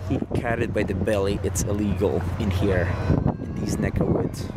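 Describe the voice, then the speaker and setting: A man's voice: lowish voice, fairly even intonation. A young adult man chatting casually and teasingly outdoors as he films a fishing trip on a handheld camera. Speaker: vlogger filming a fishing trip. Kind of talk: casual off-the-cuff chat. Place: outdoors on a concrete river pier. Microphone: handheld compact camera. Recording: loud wind and rushing water noise. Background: wind, river water.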